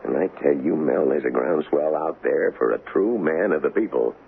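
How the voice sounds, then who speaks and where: Casting: shrewd male party boss for vintage radio drama, gravel-throated and very animated, folksy salesman's patter, muffled old broadcast sound. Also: a bass voice, normal speed, slight background noise, heavily acted. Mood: rousing, persuasive. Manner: glib, wheedling salesman's patter, wry. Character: shrewd, folksy male political kingmaker, cynical and pushy.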